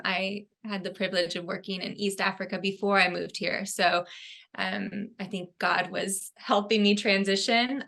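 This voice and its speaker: A woman's voice: on the low side, pushed and bright. A young woman from California, a guest in a casual interview, talking in a reflective, earnest way with frequent ums. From Fresno, California, US. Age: young adult.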